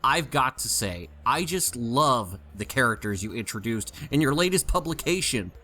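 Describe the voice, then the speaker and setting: Man voicing a gushing, bright-voiced nerdy stallion superfan. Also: medium pitch, very animated, normal speed, soft background music. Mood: enthusiastic. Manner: gushing, talkative, opinionated. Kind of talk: audio play character acting.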